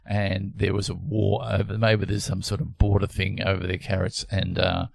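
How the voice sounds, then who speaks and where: A man's voice: low-pitched, completely flat delivery, moderate pace, creaky, no echo. A man hosting a podcast, chatting casually in a playful, rambling way. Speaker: podcast host. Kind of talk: casual chat.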